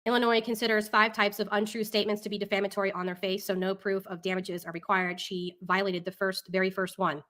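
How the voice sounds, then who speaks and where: A woman's voice: mid-range voice, level tone, quick pace, few pauses, fairly bright tone. A woman hosting a casual live talk show, reading documents and commenting in an exasperated, opinionated way.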